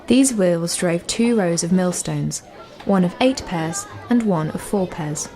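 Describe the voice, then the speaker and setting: Lower-pitched woman narrating facts. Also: measured pace, mellow. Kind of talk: scripted factual narration.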